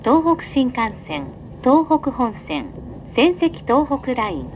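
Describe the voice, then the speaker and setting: A woman's voice: high-pitched, big pitch swings, slow pace. A woman reading scripted onboard train announcements in a polite, formal, carefully enunciated way. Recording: dull lo-fi recording with train noise. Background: train ambience.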